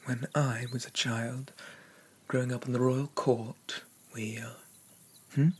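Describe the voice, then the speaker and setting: A man playing a haughty, possessive demon prince in an ASMR roleplay, speaking formally and softly, often dropping into a whisper. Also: medium pitch, flat delivery, slow pace, breathy edge. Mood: prideful, veiled threat. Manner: pompous, formal, softly condescending.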